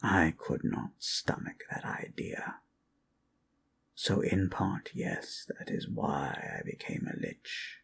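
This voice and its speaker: A man voicing an ancient, weary undead lich who tells history in a hushed, solemn, gravelly voice for an ASMR roleplay. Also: low voice, sing-song, exaggerated voice. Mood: somber, confiding. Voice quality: gravelly, creaky. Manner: measured, hushed, solemn, with long pauses. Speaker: storyteller. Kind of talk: ASMR roleplay, slow improvised fantasy history lesson.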